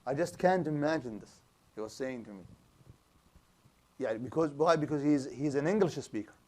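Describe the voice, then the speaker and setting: A man's voice: mid-range voice, normal speed, warm tone. Man teaching a lecture class. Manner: explanatory, asks the class rhetorical questions, frequent restarts. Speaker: Islamic studies teacher. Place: small lecture room with a projector screen.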